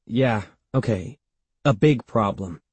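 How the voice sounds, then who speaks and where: A man's voice: on the low side, slow pace, a little mellow, husky, no echo. A man voicing an anxious, impatient young boy with a snappy, wailing manner in a children's storybook. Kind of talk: children's audiobook character voice.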